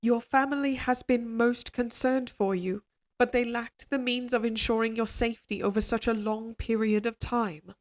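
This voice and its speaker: A woman playing a regal, possessive queen in an audio roleplay drama, speaking in formal, measured courtly phrases, tender yet controlling. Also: a little high, fairly even intonation, close to an everyday voice. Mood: tender, reassuring.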